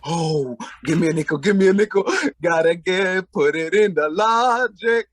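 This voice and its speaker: An actor playing a sloppy, fawning drunk husband in a comic old-time radio play, with slurred, grandiose speech. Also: male voice, sits high, normal speed, a crowd in the background, close to an everyday voice. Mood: sloppy, elated.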